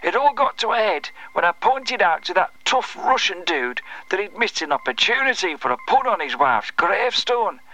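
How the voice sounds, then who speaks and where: A man's voice: high-pitched, big pitch swings, moderate pace, hardly any pauses, sharp tone, exaggerated voice, rough recording. A man playing a desperate, apologetic undertaker who cannot stop joking, rambling and interrupting himself in an audio drama phone message. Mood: flustered, pleading.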